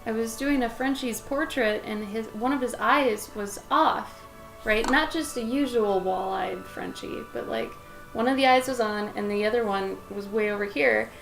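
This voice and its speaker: Raspy-voiced woman chatting on a livestream. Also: fairly high pitch, moderate pace, fairly bright tone, faint music behind, poor audio. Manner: chatty, rambling, talks to her dog and to chat. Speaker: livestreaming pet portrait artist. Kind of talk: casual chat while drawing on a livestream. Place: home art studio with plastic bins.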